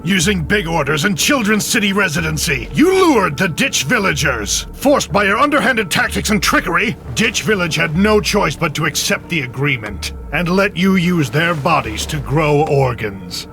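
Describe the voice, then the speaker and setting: An actor playing a vengeful, gloating villain in a dramatic, theatrical video game cutscene. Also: male voice, on the high side, big pitch swings, moderate pace, gravelly, hardly any pauses, sharp tone, faint music behind, exaggerated voice. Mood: accusing, bitter. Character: vengeful, gloating male villain scheming against a tech company.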